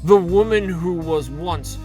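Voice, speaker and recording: Male narrator, theatrical sixties-serial announcer, higher register, measured pace, music underneath. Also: a little mellow. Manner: dramatic, campy announcer delivery. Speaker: narrator of a fan audio drama. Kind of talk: theatrical scripted narration in 1960s serial style.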